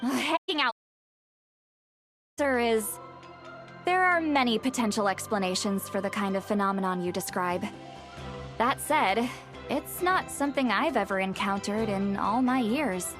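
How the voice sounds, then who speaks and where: A woman's voice: mid-range voice, expressive, measured pace, pauses often, close to an everyday voice. A woman voicing a youthful-sounding but long-lived sage in a video game, matter-of-fact, scholarly and a bit prickly. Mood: musing, scholarly. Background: faint game music.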